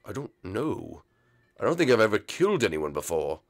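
Cartoon turtle-monster villain dad, played by a man, conflicted and stammering, gravelly and low. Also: very animated, moderate pace, faint music behind. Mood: uneasy. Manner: hesitant, stammering.

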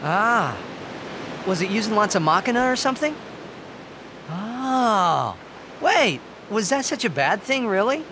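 A man's voice: a little slow, long pauses, heavily acted. A young man with an American accent playing an eager, naive teenage hero in a video game cutscene, speaking in an earnest, boyish way. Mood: puzzled. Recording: game audio over steady surf-like rumble.

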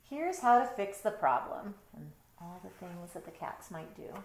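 A middle-aged woman reads a children's book aloud in a roomy bedroom. Her delivery is expressive and animated in pitch, breaking off for casual explanations to young listeners. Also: moderate pace, a little hiss or hum. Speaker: storytime reader, teacher. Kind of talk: picture-book read-aloud with casual asides. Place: bedroom, indoors.

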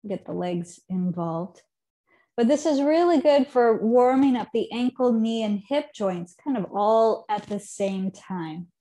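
Calm woman guiding a yoga class. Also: on the high side. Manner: calm, unhurried cueing with soft trailing endings. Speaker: yoga teacher. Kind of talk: guided yoga instruction. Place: living room at home, seated on a chair.

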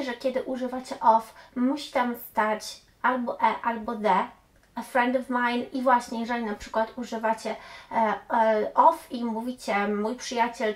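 A woman in her thirties, an English teacher, patiently explaining grammar to camera and dropping in English example phrases, recorded in a small room. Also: fairly high pitch. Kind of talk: explanatory language lesson to camera. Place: small room indoors with bookshelves.

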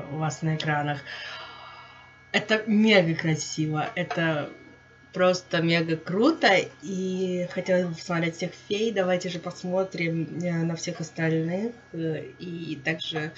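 A woman's voice: fairly low pitch, slight background noise. A woman hosting a casual gaming let's-play, talking affectionately to her viewers, with her voice a little off. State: voice slightly off, under the weather. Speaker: gaming video host. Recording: dull lo-fi mic, music behind. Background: game music.

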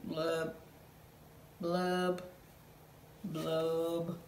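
A woman's voice: completely flat delivery, vocal fry, a little mellow, heavily acted. Woman voicing a glum, pouting cartoon fish. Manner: sing-song rhyming chant, low drawn-out blubs. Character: glum gloomy male fish, sulky and resigned. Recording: roomy echo, faint background hum.

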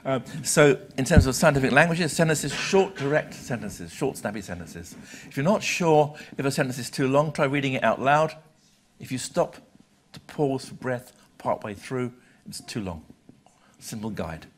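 British man, sixties, lecturer, medium pitch, conversational, emphatic short phrases, live talk, slight background noise. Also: expressive, normal speed. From Britain. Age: 60s. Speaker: lecturer, journal publisher. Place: lecture hall, speaking from a podium.

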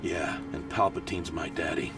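An actor playing a gruff, hard-bitten, sardonic stormtrooper sergeant barking orders in a space audio drama. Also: male voice, extremely low pitch, fairly even intonation, normal speed, recorded from a distance, over loud music. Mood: commanding, sardonic.

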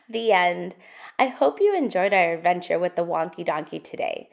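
A woman reads aloud for young children as a storytime host. Her voice sits a little low, and her pitch moves widely through each phrase. The delivery is expressive and sing-song, with deliberate phrasing. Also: vocal fry. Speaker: storytime host reading a picture book aloud. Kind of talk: interactive children's read-aloud narration.

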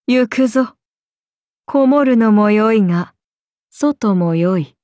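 A woman's voice: on the high side, expressive, takes it slowly, dark tone, dry recording, clean studio sound. A woman voicing a haughty, amused and predatory ancient serpent-god oni in a game, speaking in archaic, imperious, languid phrases. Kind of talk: Japanese game character voice lines.

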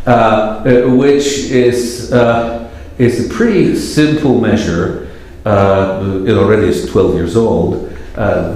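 Middle-aged male keynote speaker, wry and halting, mid-pitched, heard in a boomy lecture hall. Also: a little mellow. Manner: wry, self-deprecating, many filler hesitations. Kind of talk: conference lecture. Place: large lecture hall, standing at a podium. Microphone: podium mic, far camera. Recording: very echoey hall.

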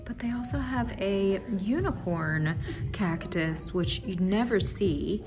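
A woman's voice: mid-range voice, slow. Gushing woman browsing a plant shop. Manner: gushing, enthusiastic, admiring. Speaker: plant vlogger. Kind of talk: casual shop-along narration. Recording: background music under voice.

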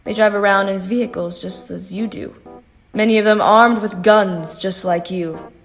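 A woman playing a composed phantom in a sci-fi audio drama, reasoning in a measured, pointed and persuasive way. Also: mid-range voice, fairly even intonation, soft background music, lightly acted. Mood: calm, persuasive.

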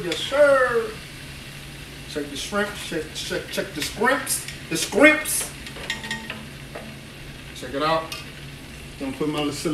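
Excitable New chef in an echoey kitchen. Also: male voice, high-pitched. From New Orleans. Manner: exuberant, repeats phrases, chatty. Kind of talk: live cooking stream chat. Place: home kitchen, indoors. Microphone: phone camera moved around the kitchen. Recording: echoey kitchen, phone mic at a distance, noisy frying. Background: frying and sizzling food.

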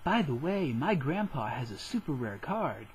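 A man's voice: mid-range voice, big pitch swings, normal speed, breathy edge. A man voicing a naive, eager little schoolboy in a parody anime dub, with a silly childlike manner.

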